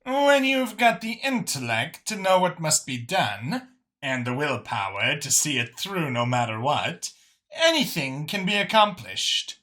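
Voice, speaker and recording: Man voicing a high, lilting, self-assured alien woman. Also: very animated, bright, forceful tone, full character voice. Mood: smug, assured. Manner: measured, articulate, self-satisfied. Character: self-assured female alien council chair, cerebral and gracious. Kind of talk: audiobook character acting.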